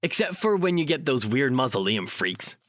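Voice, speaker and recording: A man's voice: mid-range voice, very animated, moderate pace, fairly bright tone, no room sound, studio quality. An actor playing a bossy, wisecracking ghost hunter in an audio drama, flippant and emphatic in his delivery. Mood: wry, explanatory.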